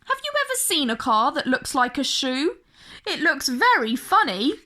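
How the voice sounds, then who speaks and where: A woman's voice: high-pitched, very animated, moderate pace, bright, forceful tone. A woman reading a picture book aloud for children as a storyteller, speaking directly to young listeners with dramatic emphasis. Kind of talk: expressive picture-book read-aloud for kids.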